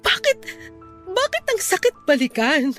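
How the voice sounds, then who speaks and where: A woman's voice: very high-pitched, very animated, a little slow, fairly bright tone, faint music behind, full character voice. A woman playing a distressed young woman torn between two loves in a radio drama, tearful and pleading. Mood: torn, sorrowful. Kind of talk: emotional Tagalog radio drama acting.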